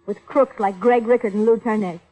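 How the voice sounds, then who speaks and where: An actress playing a troubled but firm young fiancée, earnest and direct, in a mid-century radio drama. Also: female voice, a little high, steady tone, a little fast, warm tone, faint music behind, lightly acted, poor audio. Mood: resolute, strained.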